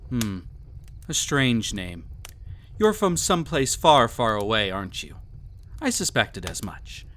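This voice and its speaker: A man's voice: medium pitch, very animated, a bit bright, a little hiss or hum. A man playing a cruel, arrogant emperor in a scripted audio roleplay, speaking in a formal, mocking, condescending way. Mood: sneering interrogation. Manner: haughty, formal, mocking, deliberate theatrical phrasing. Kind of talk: scripted audio roleplay, villain monologue addressed to listener.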